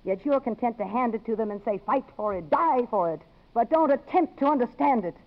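An actress playing a poised, principled, outspoken woman in a 1950s radio drama, measured and articulate. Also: on the high side, animated. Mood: indignant, cool. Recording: muffled old radio broadcast.

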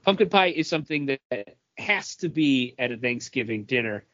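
A man's voice: medium pitch, expressive, moderate pace, fairly bright tone, no echo. Man chatting on dry, muffled audio. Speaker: podcast host. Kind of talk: casual group chat.

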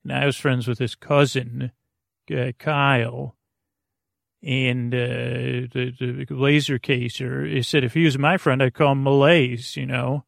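A man with a creaky voice hosting a podcast for the sleepless, talking in a casual, meandering, halting monologue with a goofy, whimsical streak. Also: medium pitch, little pitch movement, no echo, clean studio sound. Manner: meandering, halting, stop-and-start. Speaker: podcast host.

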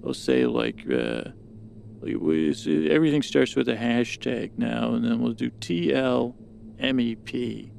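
Very gravelly, creaky man rambling along. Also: medium pitch, animated, darkish tone, a little hiss or hum. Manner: meandering, halting, repeats and trails off. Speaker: podcast host. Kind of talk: rambling improvised bedtime story monologue.

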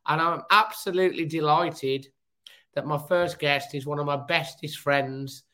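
A man with a hoarse, cold-roughened voice, hosting a casual football fan chat show. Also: fairly high pitch, steady tone, a little slow, pushed and bright. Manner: chatty, upbeat, fan talk. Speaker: football podcast host.

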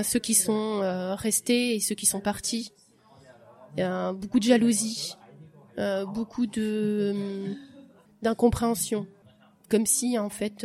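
A woman giving a personal testimony, reflective and hesitant, with frequent pauses. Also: medium pitch, a little mellow. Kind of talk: testimony interview.

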